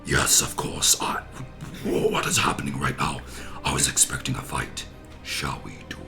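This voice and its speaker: A man voicing a menacing villain with a growling voice and ominous, formal threats in a table read, with music behind. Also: normal speed. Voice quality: very low gravelly growl.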